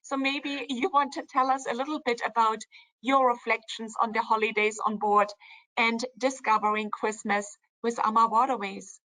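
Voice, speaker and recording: A woman hosts a virtual company event over a video call. Her voice is higher than average and sharply bright, and she speaks warmly, praising a colleague. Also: fairly even intonation, normal speed, poor audio. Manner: warm, praising, guides the conversation. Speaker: co-founder and event host.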